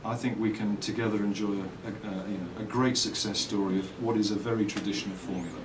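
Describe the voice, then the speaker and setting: A middle-aged man with an English accent, giving reflective, articulate interview answers in measured phrases and telling anecdotes as a company owner and designer. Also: fairly low pitch, fairly even intonation. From England. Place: indoors at a trade show. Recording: roomy, distant mic, faint background noise.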